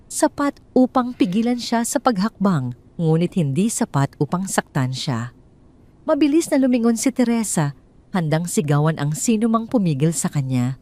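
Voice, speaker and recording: A woman with a Filipino accent reading a scripted dramatic story in measured phrases as a storyteller. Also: lowish voice, a little mellow.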